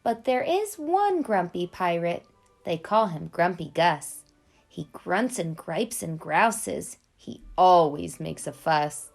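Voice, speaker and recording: A woman reading a picture book to children in an expressive, deliberate storyteller's delivery. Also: on the low side, soft background music. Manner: clear, deliberate delivery addressed to young children. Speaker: children's read-aloud storyteller and host.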